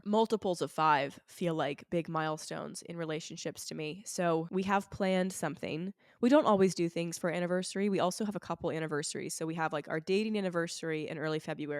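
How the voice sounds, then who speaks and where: A woman podcast host, sick with a raw, hoarse throat, chatting candidly and with self-deprecating humor from home. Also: fairly low pitch, no echo, studio quality. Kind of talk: casual solo podcast chat. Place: at home, not in a studio.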